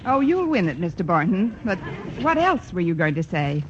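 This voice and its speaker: A wisecracking schoolteacher. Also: female voice, lowish voice, sing-song, moderate pace. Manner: dry wisecracking quips. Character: witty wisecracking female English teacher, adult. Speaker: schoolteacher lead. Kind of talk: 1940s radio sitcom acting. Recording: dull old radio broadcast with loud hiss.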